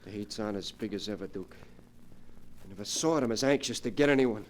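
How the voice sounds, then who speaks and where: An actor playing a frightened, servile small-time crook in 1940s radio crime melodrama, anxious and eager to placate. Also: male voice, lowish voice, fairly quick, lightly acted. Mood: wary, meek. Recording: noisy vintage 1940s radio recording.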